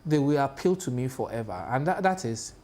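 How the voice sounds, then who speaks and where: A man's voice: medium pitch, expressive, a little fast, a little mellow. A man in his thirties with a Nigerian accent, co-hosting a television talk show and speaking hesitantly, with many fillers and restarts. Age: 30s. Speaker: co-host. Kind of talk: casual panel chat. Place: television studio.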